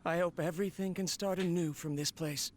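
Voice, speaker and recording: A man's voice: a little high, fairly even intonation, a little fast, slight background noise, close to an everyday voice. A man voicing a proud, ambitious researcher in a video game, grandiose as he addresses his machine. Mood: proud, hopeful. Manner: grandiose, declaiming.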